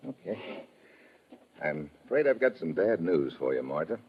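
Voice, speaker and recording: A man's voice: low-pitched, sing-song, normal speed, husky, full character voice. An actor playing a terse, wry, suspicious American investigator who narrates his own case in old-time radio detective style. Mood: guarded probing. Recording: dull vintage radio recording with music.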